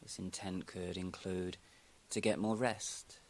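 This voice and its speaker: A man speaking as a yoga nidra teacher, giving calm, unhurried guided meditation instructions with long pauses. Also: low voice.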